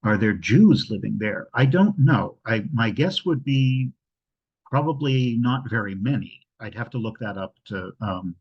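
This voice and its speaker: A man's voice: medium pitch, expressive, unhurried, slightly rough, highs cut off. A man presenting on a video call, informally answering questions and thinking aloud in a hesitant way. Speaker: travel presenter. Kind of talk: informal question-and-answer after a travel slideshow. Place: indoors at home, on a video call. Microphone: video call microphone.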